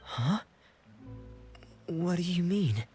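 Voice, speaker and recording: A man's voice: high-pitched, lively intonation, drawn-out words, a little mellow, heavily acted. A man voice-acting a reserved, loyal younger orphan brother in a game, subdued, hesitant and serious. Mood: apologetic. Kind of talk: dramatic video game voice acting. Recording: game audio with music behind.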